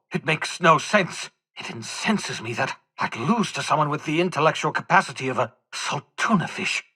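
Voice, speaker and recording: An embittered old scientist turned rebel for a video game. The voice is husky and bright with forceful edge, swinging widely in pitch. He speaks in wordy, scornful, melodramatic flourishes. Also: exaggerated voice. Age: older. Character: eccentric, embittered old scientist rebel leading crazed inmates. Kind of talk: theatrical video game character acting.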